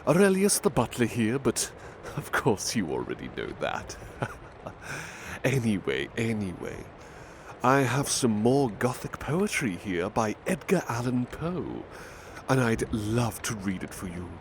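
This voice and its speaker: A man in an ASMR roleplay as a butler, reading aloud softly and unhurriedly, calling the listener beloved. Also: mid-range voice, big pitch swings, a little slow, a little hiss or hum.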